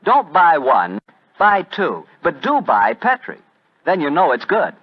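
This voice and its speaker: A male American announcer with folksy salesmanship and a very lively, swooping delivery. His voice comes through a muffled vintage radio recording. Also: on the high side, unhurried, husky. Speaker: announcer and sponsor pitchman. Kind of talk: 1940s radio advertising and hosting.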